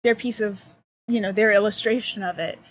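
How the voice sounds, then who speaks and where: A woman's voice: medium pitch, steady tone, fairly quick. A woman talking as a guest in a casual interview, thoughtful and searching for her words. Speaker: accessibility consultant, guest.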